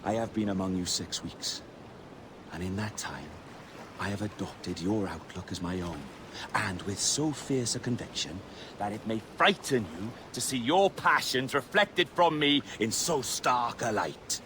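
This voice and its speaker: An actor playing a shrewd, grandiose pirate captain, speaking in a theatrical, speechmaking way. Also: male voice, fairly low pitch, a little slow, noisy background, lightly acted. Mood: rousing. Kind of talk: video game cutscene acting.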